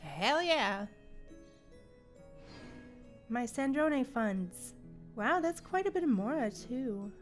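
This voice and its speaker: A woman's voice: on the high side, sing-song, slow, pauses often. A woman livestreamer giving casual, chatty gameplay commentary and reacting aloud to the game. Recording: game music behind.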